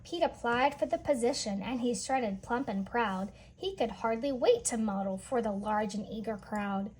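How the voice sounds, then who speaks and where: A woman's voice: on the high side, normal speed, darkish tone, husky. A woman reading a picture book aloud to young children, explaining and asking questions as she goes. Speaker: children's read-aloud storyteller.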